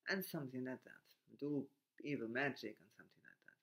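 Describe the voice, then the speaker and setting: A man from Germany with a German accent, speaking haltingly in a reflective monologue with frequent restarts and broken grammar. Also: mid-range voice, creaky, sharp tone.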